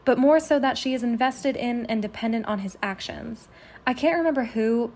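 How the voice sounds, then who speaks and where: A woman's voice: fairly high pitch, a little hiss or hum. A woman listener sending a voice message, reading her written commentary aloud in articulate, formal wording.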